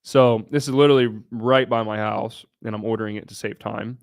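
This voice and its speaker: A man's voice: on the low side, fairly even intonation, a little fast, creaky, clean tone, no echo, studio quality. Brash young man chatting on a livestream. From Southern Ohio. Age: young adult. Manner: brash, blunt, swears freely. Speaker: livestream host. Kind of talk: casual livestream chat answering viewers.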